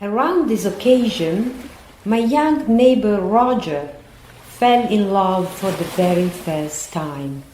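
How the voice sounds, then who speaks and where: A woman playing the narrator of an audio drama, telling her story in a measured, scripted way. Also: medium pitch, expressive, darkish tone. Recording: roomy echo, faint background noise.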